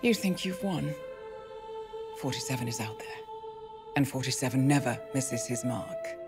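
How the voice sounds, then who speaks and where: A woman playing a composed female mission handler in a game cutscene, with crisp, controlled delivery and a lively pitch, over music. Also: normal speed. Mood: defiant.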